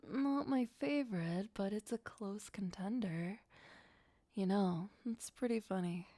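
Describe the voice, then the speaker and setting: An ageless female vampire played by a woman murmurs as an obsessive, darkly playful girlfriend in an ASMR roleplay. Her voice is soft, breathy and often whispered, drawn out at an unhurried, very slow pace. She coaxes sweetly with menace underneath, very close and dry on the mic. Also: mid-range voice, dry recording, lightly acted. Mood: playful, musing. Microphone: close, dry microphone.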